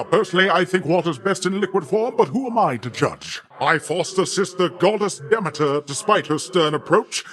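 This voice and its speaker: An actor playing a boastful, jovial but quick-tempered sea god uncle in a video game, with a pompous, hearty, theatrical delivery. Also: male voice, on the high side, a bit bright.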